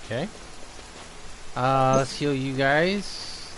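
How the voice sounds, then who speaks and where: A man's voice: medium pitch, extremely slow, fairly bright tone, slight background noise, low-quality recording. A man giving casual live gameplay commentary, informally muttering to himself and swearing. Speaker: game streamer.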